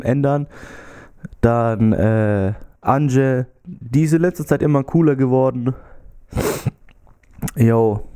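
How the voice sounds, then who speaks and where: A man's voice: medium pitch, warm tone, clear, resonant tone. A young man with a slight cold, chatting casually into a handheld mic in his small bedroom, rambling with lots of fillers. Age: young adult. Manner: rambling, many fillers, gestures while talking. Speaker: YouTuber. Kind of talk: casual vlog chat. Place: small bedroom with TV and gaming setup. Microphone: handheld mic held close.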